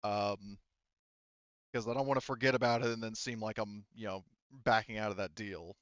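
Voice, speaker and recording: A man with a smooth, fairly low voice works as a game master, improvising story and rulings as he goes. He talks haltingly, looping back with asides. The sound is dry and slightly dull. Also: fairly even intonation, no echo. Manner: halting, circling explanations with frequent hedging asides. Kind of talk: improvised narration and rules talk for a tabletop roleplaying game.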